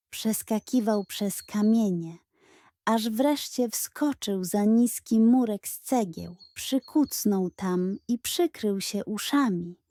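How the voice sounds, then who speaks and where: Slow, mellow woman storyteller. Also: medium pitch, no echo, clean studio sound. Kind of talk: expressive scripted bedtime story narration for children.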